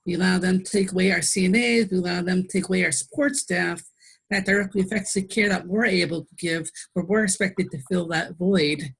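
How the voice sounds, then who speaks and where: A woman's voice: lowish voice, steady tone, brisk, gravelly, breathy edge, low-quality recording. A woman in a conversational interview, a nurse explaining her views in an emphatic, pointed way.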